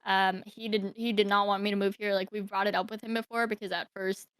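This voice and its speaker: Young woman, smooth voice, hesitant storytelling. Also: medium pitch, little pitch movement, fairly quick, a bit bright, no room sound. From Thailand. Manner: hesitant, many fillers and restarts. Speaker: podcast guest. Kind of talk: casual interview storytelling.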